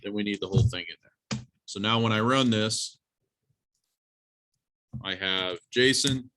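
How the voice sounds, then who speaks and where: Bright-voiced male college programming instructor. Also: lowish voice, measured pace, slight background noise. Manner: plain-spoken, instructive, addresses students directly. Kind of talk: informal classroom lesson with live coding demonstration.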